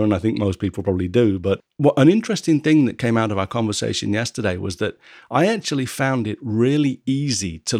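Halting man with a dry sound. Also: lowish voice, a little mellow, no echo. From England. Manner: halting, often pausing to search for words. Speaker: podcast host. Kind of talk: casual conversation.

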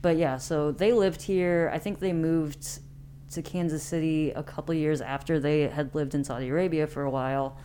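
An American woman in her thirties with a notably low voice tells personal stories as an interview guest. She speaks informally, thinking aloud as she goes. There is a faint hum in the recording. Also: steady tone, moderate pace, dark tone. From Independence, Missouri, United States. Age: late 30s. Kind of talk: casual chat, personal storytelling.

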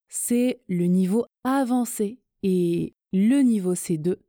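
A woman from France hosting a podcast lesson, speaking clearly and encouragingly to her listeners. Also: medium pitch, warm tone, dry recording, studio quality. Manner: slow, clear and encouraging, addressing listeners directly. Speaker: French teacher and podcast host.

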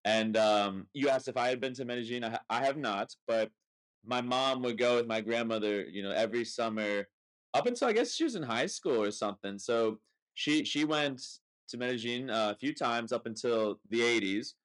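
A man's voice: mid-range voice, normal speed, fairly bright tone. A young man with an American accent hosting a podcast in an informal, rambling, conversational way. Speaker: podcast host. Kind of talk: casual chat.

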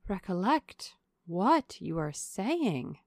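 Woman playing a genteel, measured lady of the house. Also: medium pitch, big pitch swings, dry recording, clean studio sound, full character voice. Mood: reproving. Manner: slow, measured, polite.

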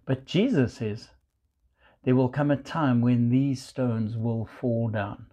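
A man with a South African accent, preaching a measured sermon and quoting scripture. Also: medium pitch, dark tone. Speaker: pastor.

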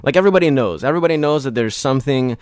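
Guy hosting a podcast, earnest and chatty, average pitch, dry close mic. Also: lively intonation, rapid-fire, a little mellow, no room sound. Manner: conversational, earnest and reflective. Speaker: podcast host. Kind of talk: casual podcast talk. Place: studio.

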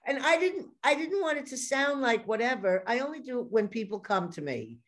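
A woman's voice: on the high side, very animated, brisk. A woman with a New York accent hosting a podcast, telling stories in a chatty way and laughing often. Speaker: podcast host. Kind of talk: casual interview conversation.

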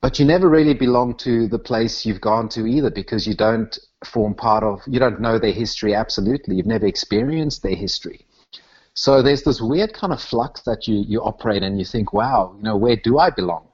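A man with a South African accent giving reflective, conversational interview answers in a thoughtful, halting way that rephrases as it goes. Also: on the low side, a little mellow, slightly rough, muffled recording. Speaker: interview guest, touring comedian.

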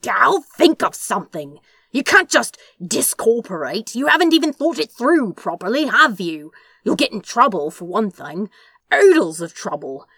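A woman puts on a snarky British demon who blurts, swears and protests loudly. The voice is bright and edgy with a raspy touch, swinging up and down, recorded dry and close. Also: fairly high pitch, big pitch swings, moderate pace, no room sound, pitched above the performer's own voice, full character voice. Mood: exasperated. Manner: loud, blunt, swearing.

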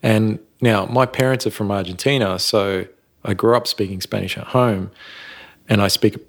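A man, a sound engineer guest chatting in a relaxed, rambling way and laughing often. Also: lowish voice, moderate pace, vocal fry. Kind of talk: casual interview talk.

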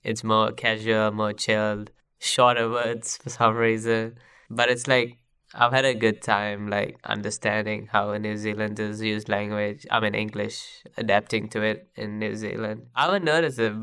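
A man's voice: mid-range voice, clean tone, dry recording. A young man from Sri Lanka, a native Sinhalese speaker, chatting casually as a podcast guest with lots of "like" fillers. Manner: relaxed, filler-heavy, says "like" often.

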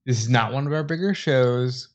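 A man co-hosting a casual podcast chat, talking quickly and loosely. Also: medium pitch. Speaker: podcast co-host.

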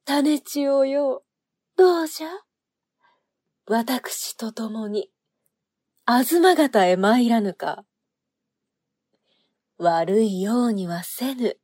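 A woman's voice: fairly high pitch, extremely slow, pauses often, a little mellow, husky, no room sound, studio quality, exaggerated voice. An actress playing a sinister, seductive woman agent in a period audio drama, taunting in courtly archaic phrasing. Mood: seductive, amused. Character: sinister seductive noblewoman agent, archaic speech, mocking, menacing.

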